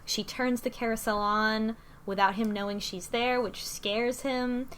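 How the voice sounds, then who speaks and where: A woman's voice: fairly high pitch, steady tone, a bit bright, a little hiss or hum. A woman casually recapping and discussing a story, often stopping to correct herself. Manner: halting, self-correcting. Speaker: podcast host.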